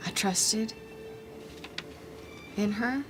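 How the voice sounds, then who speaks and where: A woman's voice: mid-range voice, extremely slow. Woman playing a hesitant, earnest young sorceress over music. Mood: troubled, earnest. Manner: hesitant, searching, trailing phrases. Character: earnest, troubled, soft-hearted young sorceress. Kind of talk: in-character tabletop roleplay.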